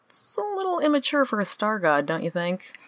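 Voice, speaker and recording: A woman's voice: mid-range voice, very animated, fairly quick, no echo, lightly acted. A woman playing a weary, sardonic supernatural guide with a dry, offhand delivery in a comedic radio play.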